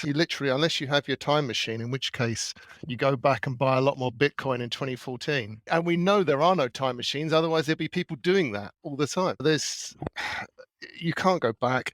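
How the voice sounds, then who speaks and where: A man speaking as a podcast guest, laying out his opinions at length in a measured way. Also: mid-range voice, a bit bright, no echo. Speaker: guest artist. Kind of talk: casual podcast conversation.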